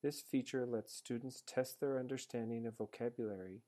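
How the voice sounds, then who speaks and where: A man reading a scripted software tutorial in a measured, explanatory manner as a voice-over. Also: medium pitch, steady tone. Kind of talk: scripted instructional narration. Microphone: screencast voice-over.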